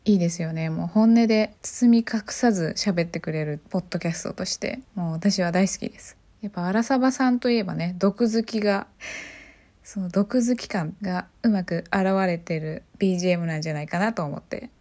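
A woman's voice: on the low side, a little fast, mellow. Casual woman hosting a solo podcast. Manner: chatty, often turning questions to the listeners. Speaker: podcast host.

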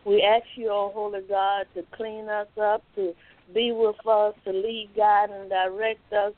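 A woman's voice: mid-range voice, measured pace. Woman praying earnestly over the phone. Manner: earnest, steady prayer phrasing. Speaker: caller. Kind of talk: spoken prayer. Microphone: phone call. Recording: tinny phone line.

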